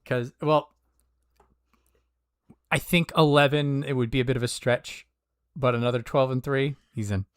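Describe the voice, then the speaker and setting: A man's voice: mid-range voice, fairly even intonation, moderate pace, pauses often, smooth, dry recording, studio quality. A man co-hosting a talk show, chatting in an easygoing, joking and colloquial way. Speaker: sumo talk show co-host. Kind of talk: casual chat.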